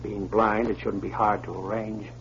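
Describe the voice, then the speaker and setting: Scheming frontier prospector, folksy and insistent, vintage radio drama delivery, lo-fi hissy recording. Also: male voice, lowish voice, flat delivery, moderate pace, a bit bright. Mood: sly, scheming. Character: scheming, folksy male prospector, sly ringleader. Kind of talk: 1940s radio acting.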